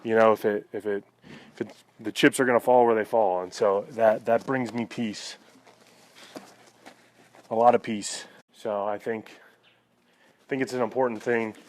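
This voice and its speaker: Low-pitched man, flat casual delivery. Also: moderate pace, pauses often. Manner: conversational, reflective, frequent "you know". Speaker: vlogger. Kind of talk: casual personal talk to viewers.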